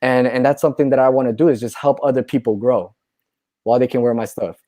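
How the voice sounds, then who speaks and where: A man, a clothing brand founder, chatting casually as a guest, rambling and relaxed, often saying "you know" and "right?", a little tipsy. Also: mid-range voice, fast, no echo, studio quality. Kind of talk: casual conversation.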